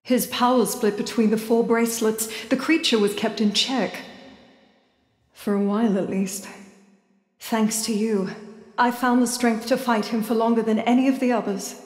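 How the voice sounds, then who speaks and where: A woman's voice: fairly high pitch, steady tone, normal speed, natural voice. Woman acting noble hopeful leader, echoing hall. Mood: grateful, solemn. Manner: solemn, formal, earnest. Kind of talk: video game fantasy drama acting. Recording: echoey hall with long reverb.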